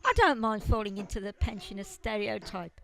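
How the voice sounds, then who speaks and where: A woman's voice: mid-range voice, normal speed, gravelly, fairly bright tone. A woman playing a chatty, cheerful elderly pensioner in an amateur comedy audio drama. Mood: mild, agreeable.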